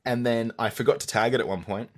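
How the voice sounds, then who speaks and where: A young adult man with an Australian accent, answering interview questions as an audio content creator in a measured, thoughtful way with frequent restarts. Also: medium pitch, steady tone.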